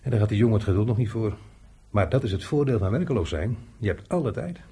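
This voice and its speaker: Chatty, very husky workshop handyman. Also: fairly low pitch, expressive, fast, dark tone, exaggerated voice. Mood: gossipy, wry. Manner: talkative, colloquial, chuckling. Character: chatty, jovial unemployed workshop handyman showing a newcomer around. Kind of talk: radio drama acting.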